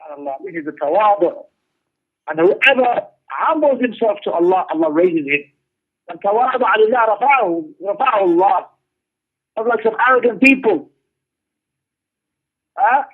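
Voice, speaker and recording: A man's voice: high voice, expressive, brisk, long pauses. A man teaching a religious class, explaining a text emphatically, repeating phrases and posing rhetorical questions. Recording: muffled narrow-band radio-like sound.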